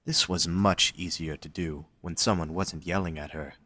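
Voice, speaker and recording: Male narrator for scripted fiction. Low-pitched, dry recording. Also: fairly even intonation, normal speed, bright, forceful tone, dry recording.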